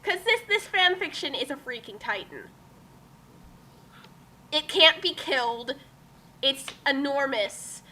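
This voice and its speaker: A woman's voice: high voice, expressive, takes it slowly, long pauses, sharp tone, low-quality recording. A woman in a casual comedic group commentary, rambling with melodramatic exasperation and stammering. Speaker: co-host of a dramatic fan fiction reading.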